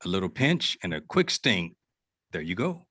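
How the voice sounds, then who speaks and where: A man playing a calm, reassuring medic in an amateur science fiction table read. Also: low-pitched, normal speed, slightly rough, no echo, studio quality, exaggerated voice.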